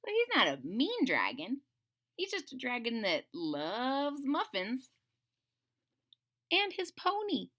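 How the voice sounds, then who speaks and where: A woman reading a children's story aloud in a theatrical, playful way, pausing to explain things simply to young listeners. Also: fairly high pitch, sharp tone. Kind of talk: expressive children's read-aloud with simple asides.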